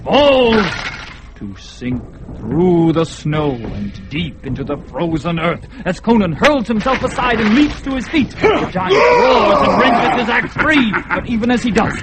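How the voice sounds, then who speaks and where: A man giving dramatic scripted narration for a radio drama, measured and intense. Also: high-pitched, very animated, loud music behind the voice, low-quality recording. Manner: dramatic, measured, rising with the action.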